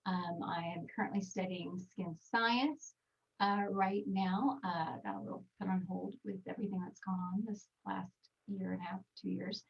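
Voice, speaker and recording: A woman's voice: medium pitch, husky, far from the mic, muffled recording. A woman in her forties with a Texas accent, presenting a casual online skincare talk in a chatty way with many fillers, from a small home studio. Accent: Texan. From Texas, United States. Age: 40s. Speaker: skincare trainer presenting a webinar.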